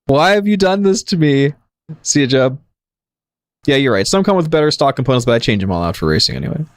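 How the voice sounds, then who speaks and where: A man's voice: mid-range voice, no echo, clean studio sound. A man hosting a gaming livestream, thinking aloud in an analytical, jokey way with casual commentary. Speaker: livestream gamer, host.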